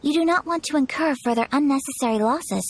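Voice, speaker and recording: A woman's voice: high voice, fast talker, slight background noise, close to an everyday voice. An actress playing a polite young noblewoman in a video game, speaking formally with composed courtesy. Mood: composed, firm. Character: refined, polite young noblewoman of high clan.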